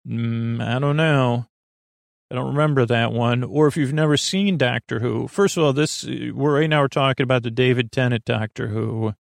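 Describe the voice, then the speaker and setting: A man with a gravelly, creaky voice talks as a podcast host in a loose bedtime monologue. His pitch sits in the middle of the male range, and the sound is close and dry. He meanders, stops short and restarts as he wanders into side thoughts. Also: dry recording. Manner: meandering, halting, self-interrupting with trailing tangents. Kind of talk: rambling, informal bedtime monologue.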